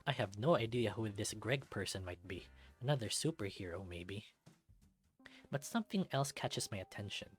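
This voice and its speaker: A man's voice: fairly low pitch, animated, moderate pace. A man reading first-person narration from a visual novel as a scripted read. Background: music.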